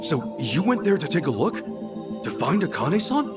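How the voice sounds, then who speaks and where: A man's voice: a little high, very animated, normal speed. An actor playing an earnest, emotional man who asks hesitant questions in a dramatic video game cutscene. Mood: hesitant, concerned. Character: earnest, emotional man learning his family's secret. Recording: game audio with loud background music. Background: loud cinematic music.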